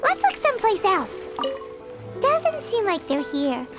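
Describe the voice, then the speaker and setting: A woman voicing a tiny, excitable childlike fairy companion with a squeaky voice in an anime-style game. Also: unhurried, over loud music, exaggerated voice. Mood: chirpy, businesslike. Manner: refers to self in third person, squeaky.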